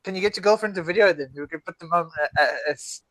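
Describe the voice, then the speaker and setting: Male podcast co-host, 30s, raised pitch, chatty and giggly, stop-start delivery, dry room. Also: sharp tone, dry recording, low-quality recording. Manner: laughs often, halting restarts. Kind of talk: casual chat over a video call. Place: at home in South Africa.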